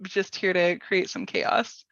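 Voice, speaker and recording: A woman's voice: mid-range voice, fairly even intonation, takes it slowly, vocal fry, fairly bright tone, dry recording. A woman hosting a casual storytelling workshop, playful and enthusiastic, on a close but dull-sounding microphone. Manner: playful, joking, enthusiastic about the material. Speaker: workshop host and presenter.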